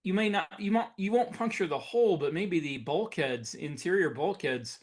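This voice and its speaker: A man's voice: sits high, animated, normal speed. A man co-hosting a podcast, talking casually as a science fiction writer in an unscripted discussion. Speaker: podcast co-host and military sci-fi writer.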